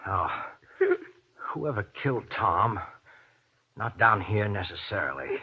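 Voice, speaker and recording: An actor playing a tense, short-tempered husband in a 1940s radio horror drama, agitated, snapping and halting. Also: male voice, lowish voice, lively intonation, breathy edge, full character voice. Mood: agitated, impatient. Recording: dull, lo-fi old radio recording.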